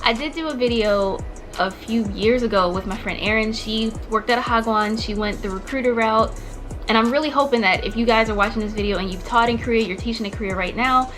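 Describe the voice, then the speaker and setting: A young woman vlogging in a casual, chatty way, peppering her talk with fillers and restarts. Music plays clearly behind her voice. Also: fairly high pitch, a little fast, fairly bright tone. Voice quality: chatty, many likes and restarts. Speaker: vlogger, former teacher. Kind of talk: casual chat to camera.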